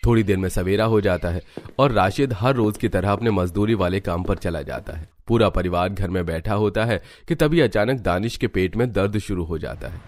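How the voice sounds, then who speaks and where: Low-pitched male story narrator, dry sound. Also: moderate pace, darkish tone, dry recording. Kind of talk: scripted Hindi story narration.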